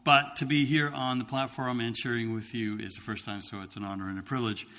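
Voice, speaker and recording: Scottish-accented man with a bright voice. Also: medium pitch, little pitch movement, big-room sound. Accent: slight Scottish. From Scotland. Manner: halting, many ums and restarts. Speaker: missionary speaker. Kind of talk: church talk to congregation.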